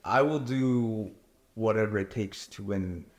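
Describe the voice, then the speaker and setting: Low-voiced young man, candid and casual. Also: measured pace, echoey room. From Korea. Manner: candid, relaxed, many fillers like "you know" and "like". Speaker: reality show contestant. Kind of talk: casual interview answers.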